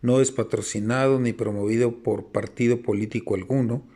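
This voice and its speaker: A man's voice: medium pitch, steady tone, moderate pace, warm tone, slightly rough. A man with a Mexican accent reading a scripted public notice as an announcer.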